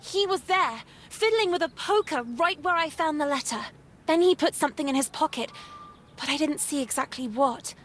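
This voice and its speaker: A woman playing an anxious, earnest young orphan girl in an animated horror adventure game. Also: high-pitched, moderate pace, slight background noise. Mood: insistent, worried.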